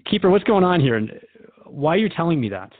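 A man's voice: fairly high pitch, sing-song, fast. Man playing a radio host, muffled sound. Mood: questioning. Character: human radio host, easygoing but questioning interviewer. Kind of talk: conversational radio drama interview.

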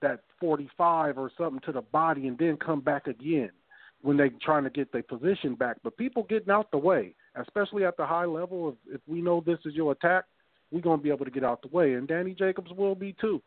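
Man, 30s, podcast co-host, casual rambling chat, slightly raised pitch, tinny phone-quality audio. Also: fast, no echo. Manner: loose, rambling, swears freely, restarts often. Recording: tinny phone-line sound.

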